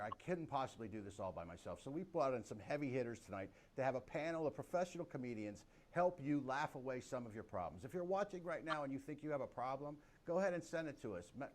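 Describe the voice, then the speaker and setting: An older man hosting a comedy talk show over a video call, giving upbeat, emphatic introductions. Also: mid-range voice.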